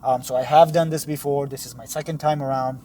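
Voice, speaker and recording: Man in his thirties, entrepreneur vlogger, warm darker voice, middle pitch, relaxed unscripted delivery. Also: brisk, slight background noise. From Dubai, Middle East. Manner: loose, conversational, with fillers and self-corrections. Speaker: real estate entrepreneur. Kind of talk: casual talk to camera.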